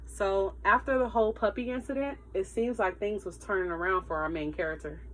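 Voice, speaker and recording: A woman with a very bright, forward voice at a medium pitch reads aloud like a teacher to her pupils. She tells it expressively and emphatically, turning to her listeners with short asides. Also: fairly quick, slight background noise, rough recording. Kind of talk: animated children's read-aloud with asides to listeners.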